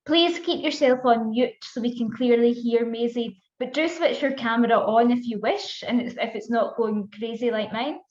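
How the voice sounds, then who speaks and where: A woman's voice: on the high side, moderate pace, hardly any pauses. A woman hosting an online event on a video call, politely reading out introductions and housekeeping notes. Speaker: event host. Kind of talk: scripted introduction. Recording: echoey, dull video call audio.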